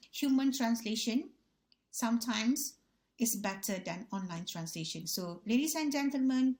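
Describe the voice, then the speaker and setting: A woman from Malaysia, a native Malay speaker, giving a recorded university revision lecture in English with a Malaysian accent, talking deliberately and repeating key phrases for her students. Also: a little high. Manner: deliberate, repeats key phrases, addresses audience as ladies and gentlemen.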